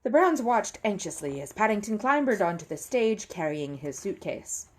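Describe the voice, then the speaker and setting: A woman reading a children's story aloud as an expressive, animated narrator. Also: mid-range voice, normal speed. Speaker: storybook reader.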